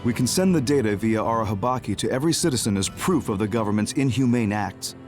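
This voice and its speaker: An actor playing a grave, composed double agent in a video game dub, speaking in a measured, serious, formal way. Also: male voice, medium pitch, lively intonation, a little mellow, faint music behind, natural voice. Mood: serious, explaining.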